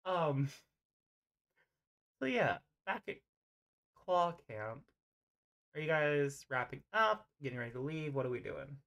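A game master narrating an improvised tabletop roleplay over an online voice call, often pausing mid-sentence. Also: mid-range voice, very animated, vocal fry, a bit bright.

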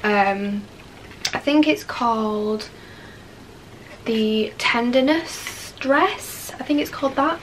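A woman in her twenties from Leeds with a Yorkshire accent, chatting excitedly about her presents in a bedroom, a little sniffly from a cold and full of "um" and "like". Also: on the high side, takes it slowly, long pauses, a little hiss or hum, poor audio. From Leeds, West Yorkshire, England. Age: 20s. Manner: gushing, enthusiastic, many fillers like "um" and "like". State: has a cold, a bit sniffly. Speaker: lifestyle vlogger. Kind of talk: casual chatty haul video. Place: bedroom, indoors.

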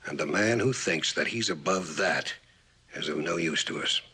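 An actor playing a stern, commanding older general with an American accent in a mid-century television drama, speaking in a blunt, deliberate way. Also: male voice, sits low, sharp tone, soft background music. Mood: stern, admonishing. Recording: old television soundtrack with faint hiss.

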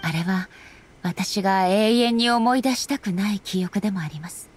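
A woman playing a solemn, dignified female ruler in an anime game, speaking formally and with measured politeness. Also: medium pitch, natural voice. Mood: grave, somber. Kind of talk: anime game dialogue acting.

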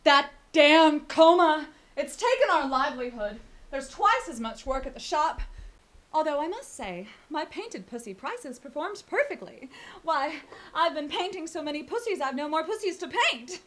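Brief: actress as a pompous high-society woman, bright high voice, affected drawl. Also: sing-song, moderate pace. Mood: haughty. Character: snooty rich middle-aged woman, pompous. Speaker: actor. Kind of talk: campy web-series comedy acting.

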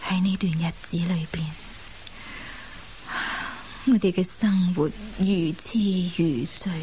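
An actress playing a reflective woman who recounts her married past in a measured radio drama style. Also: lowish voice, fairly even intonation, warm tone, a little hiss or hum. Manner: unhurried, measured recollection. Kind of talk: Cantonese radio drama storytelling.

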